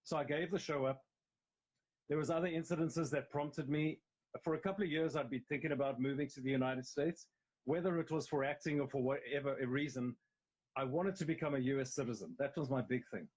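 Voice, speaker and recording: A man's voice: mid-range voice, fairly quick, darkish tone, breathy edge. A middle-aged man with a South African accent, a guest actor telling his story candidly in a casual interview, rambling a little and restarting phrases. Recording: slightly dull, lo-fi sound.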